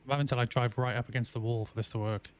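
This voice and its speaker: A man live-streaming a farming game, chatting casually with viewers and answering their messages, often pausing with ums and restarting his sentences. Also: mid-range voice, fairly even intonation. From England. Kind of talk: casual live-stream chat while playing.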